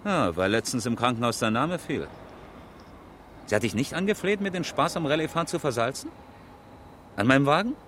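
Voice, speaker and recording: An actor playing a proud, insistent engineer and amateur rally driver in a radio crime drama, brisk and probing. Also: male voice, mid-range voice, animated, a bit bright, a little hiss or hum, natural voice. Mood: challenging, indignant. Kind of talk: 1970s German radio crime drama acting.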